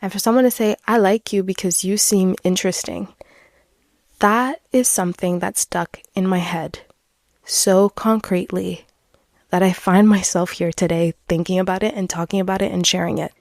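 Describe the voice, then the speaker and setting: A woman's voice: mid-range voice, fairly even intonation, moderate pace, a little mellow, dry recording. A woman in her twenties, a native French speaker, hosting a casual, candid solo podcast in a chatty, earnest, self-deprecating way into a close microphone. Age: 20s. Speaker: podcast host.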